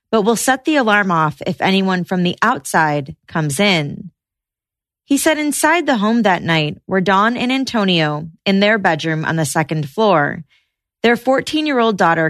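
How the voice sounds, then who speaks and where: A woman hosting a podcast, narrating a true-crime case in steady scripted storytelling. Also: medium pitch, no room sound, clean studio sound.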